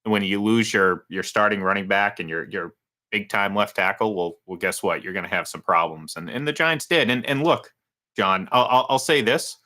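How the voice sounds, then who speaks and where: A man's voice: on the low side, moderate pace, pushed and bright. A man in his thirties co-hosting a casual sports talk show, rambling with many restarts and fillers. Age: 30s. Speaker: sports radio co-host.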